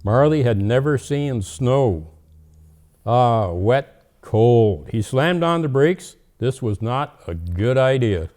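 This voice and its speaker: Man as a slow, mellow old Santa storyteller. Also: mid-range voice, expressive. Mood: storytelling. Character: jolly, gentle old Santa Claus reading stories. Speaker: storyteller host. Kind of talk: storybook reading and casual chat.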